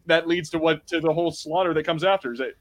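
A man's voice: a little high, quick pace. A man talking casually as a panelist at a film watch party, explaining things like a keen fan with lots of "like.". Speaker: podcast panelist.

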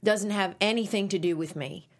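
An actress reading a pushy conservative talk radio host mother at a script table read, brisk and scolding. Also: mid-range voice, lightly acted. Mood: prim.

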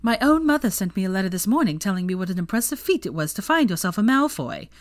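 A woman's voice: medium pitch, big pitch swings, fast, lightly acted. A woman voicing an outspoken, unbothered teenage witch in a storybook reading. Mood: wry.

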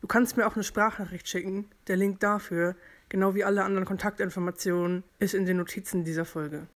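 A woman's voice: mid-range voice, fairly even intonation, fairly quick. A woman in her early twenties from Germany hosting a podcast, explaining things about herself informally and rephrasing as she goes. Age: early 20s. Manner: informal, explanatory, self-reflective, circling back to rephrase. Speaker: podcast host. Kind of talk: casual personal monologue to listeners.

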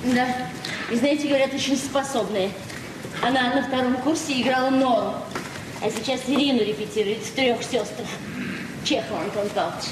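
An actress playing a modest, middle-aged provincial librarian, plain-spoken and frank, in a 1970s Soviet radio drama. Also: female voice, a little high, moderate pace, lightly acted. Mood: proud. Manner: plain-spoken, apologetic, talkative. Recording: noisy old radio recording, echoey, distant.

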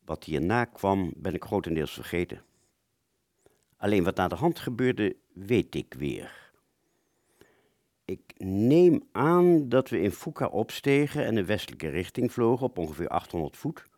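A man's voice: sits low, sing-song, a little slow, darkish tone, no room sound, studio quality, heavily acted. A man playing a wartime fighter pilot who looks back on a mission, telling it reflectively and hesitantly in a radio play. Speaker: storytelling narrator of a radio play. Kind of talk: reflective first-person radio drama narration.

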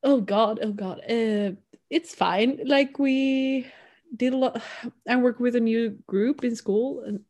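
A young woman from Sweden with a Swedish accent, co-hosting a casual chat podcast, chatty and quick to act out what people said. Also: a little high. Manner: chatty, quotes people in animated bursts, laughs often. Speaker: podcast co-host.

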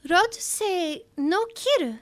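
Very high-pitched, earnest warrior girl. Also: expressive, very slow, dry recording, exaggerated voice. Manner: broken English, earnest, literal. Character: earnest young Japanese female warrior, literal-minded. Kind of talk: audio drama acting.

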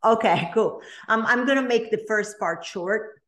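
A woman's voice: a little high, a little slow, fairly bright tone. A woman in her late thirties with a Brazilian accent, a guest on a podcast telling her story in a chatty way with lots of ums and restarts. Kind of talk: casual podcast interview.

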